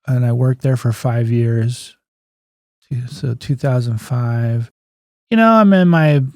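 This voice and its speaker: A man's voice: mid-range voice, darkish tone, dry recording, studio quality. A man in his fifties telling stories casually as an interview guest, in a folksy, plain-spoken way, often quoting remembered conversations. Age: 50s. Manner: anecdotal, acts out remembered dialogue, folksy plain-spoken phrasing. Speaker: guest, physical therapist and clinic owner.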